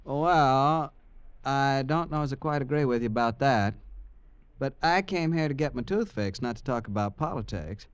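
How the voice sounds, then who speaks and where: A man's voice: mid-range voice, animated, normal speed, clear, resonant tone, close to an everyday voice. A man playing a hesitant, folksy country farmer. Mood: folksy plain. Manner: hesitant, folksy, full of uh's. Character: plain, good-natured male country farmer with toothache. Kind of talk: 1940s radio acting. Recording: dull old radio recording.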